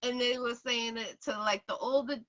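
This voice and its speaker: A woman in her forties from Boston, hosting an interview show and telling stories in a chatty, animated way. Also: fairly high pitch, moderate pace, lo-fi sound. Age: 40s. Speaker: show host. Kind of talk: casual interview chat.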